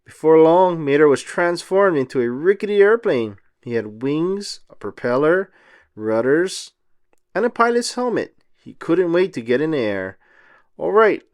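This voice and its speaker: A man reading a children's storybook aloud as narrator, in an expressive style. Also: a little high, normal speed.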